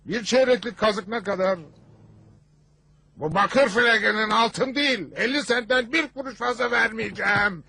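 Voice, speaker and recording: A man's voice: high voice, moderate pace, a little hiss or hum. A man voicing a blustery, boastful father in a Turkish cartoon dub, with a theatrical delivery. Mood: grumbling. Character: blustery father spinning tall war stories. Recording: loud music under the voice.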